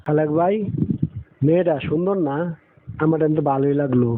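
On a thin phone-like track, a man plays a young cartoon villager. His voice is on the high side with a rural Bangladeshi accent, casual and full of curiosity. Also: brisk, dry recording, close to an everyday voice. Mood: curious, smitten. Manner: inquisitive. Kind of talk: cartoon dialogue.

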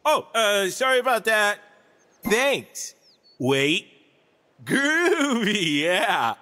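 Drawling, swooping hippie stoner wizard. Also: male voice, high voice, sing-song, extremely slow, bright, forceful tone, big-room sound, heavily acted. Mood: spaced-out, friendly. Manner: drawling, spaced-out surfer-dude delivery. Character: mellow hippie stoner wizard, laid-back nature lover. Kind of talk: video game character voice lines.

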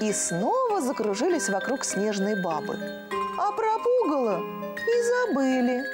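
A middle-aged woman telling a children's story as the host of a puppet show, expressive and lively. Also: high voice, unhurried. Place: cozy studio set. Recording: music playing behind.

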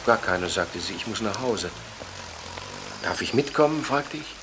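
An actor playing an earnest, infatuated lawyer who gives a halting, intense confession in a radio crime drama. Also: male voice, fairly low pitch, animated. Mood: halting, smitten. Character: earnest, infatuated lawyer recounting a romance to police. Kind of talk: German radio crime drama acting, reflective narration. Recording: noisy archive radio drama recording.

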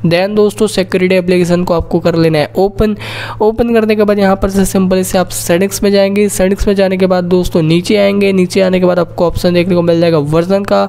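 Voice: medium pitch, little pitch movement, a little fast, creaky, mellow, smooth, soft background music. An Indian-accented tech tutorial host narrating step-by-step phone instructions, often addressing viewers as friends.

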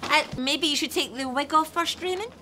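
A woman's voice: high-pitched, normal speed, slight background noise. A middle-aged woman playing a scatty, affectionate taxi driver in a children's TV show. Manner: exclamatory, expressive. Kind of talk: children's TV acting.

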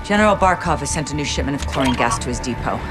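Brisk intelligence chief. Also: female voice, a bass voice, animated, over music. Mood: firm, urgent. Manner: firm, controlled. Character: brisk, authoritative female CIA station chief.

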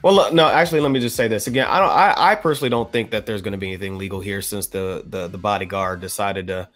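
An American man giving his opinion on a podcast panel in a measured, halting way with formal wording. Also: lowish voice, a bit bright, faint music behind. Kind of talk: panel discussion, offering opinion. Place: indoor room on a video call.

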